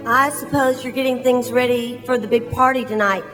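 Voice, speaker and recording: A woman's voice: a little high, steady tone, brisk, a bit bright, soft background music, close to an everyday voice. An actress playing a worldly, wistful small-town woman in a staged radio drama. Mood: wry, wistful. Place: large church hall. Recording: echoey hall with long reverb.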